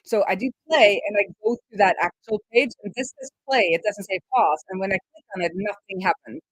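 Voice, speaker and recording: A middle-aged woman host talking informally through live coding, thinking aloud and often halting as she works things out. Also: medium pitch, slightly rough, dry recording, rough recording. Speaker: host of a web development live stream. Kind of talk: casual live coding commentary.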